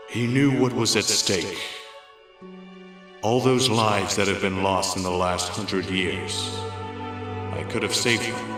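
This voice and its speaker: Solemn old spirit mentor played by a man, low and slow, heavy echo, music bed, animated drama. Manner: solemn, measured, counselling. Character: grave, regretful old male spirit mentor. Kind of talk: animated-style comic dub acting. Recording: echoing voice with music behind.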